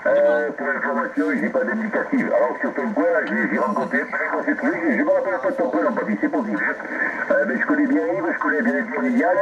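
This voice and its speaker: Radio operator from southwest France, gravelly, animated, heard over a dull lo-fi radio link. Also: male voice, sits high, fast. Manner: chatty, animated, spells with phonetic alphabet. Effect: radio transmission. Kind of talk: CB radio contact. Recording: dull lo-fi radio with loud noise.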